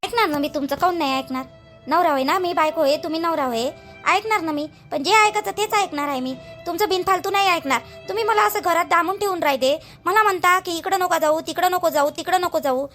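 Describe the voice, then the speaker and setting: A woman's voice: very high-pitched, a little fast, pushed and bright, soft background music. A woman playing an aggrieved, stubborn young village wife in a family cartoon, protesting and questioning in a Vidarbha Marathi accent. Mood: defiant. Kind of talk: family drama cartoon dialogue.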